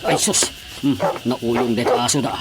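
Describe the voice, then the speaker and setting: A male actor playing a humble older man, halting and pleading for forgiveness in a radio drama. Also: mid-range voice, loud music behind the voice, close to an everyday voice. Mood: uneasy. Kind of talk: Ilocano radio drama acting. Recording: steady background noise.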